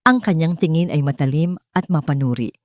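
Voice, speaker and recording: A woman's voice: low-pitched, a little fast, darkish tone, dry recording, clean studio sound. A woman reading a scripted romance story as a dramatic audiobook narrator.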